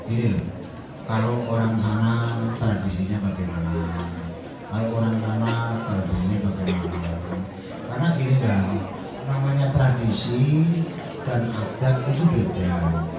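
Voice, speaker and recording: A man's voice: lowish voice, normal speed. An older man with a Javanese accent, a community elder answering students' questions in a rambling, folksy way. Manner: rambling, folksy, slips into Javanese, counts points off. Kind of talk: informal explanatory talk to students. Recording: echoey hall, far from mic, dull sound, crowd.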